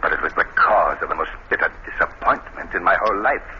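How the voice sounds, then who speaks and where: An actor playing an older, embittered prison guide and would-be executioner, ominously polite and drawn-out, in 1930s radio style. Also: male voice, low voice, huge pitch swings. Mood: eerie reminiscing. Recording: scratchy, muffled 1930s radio recording.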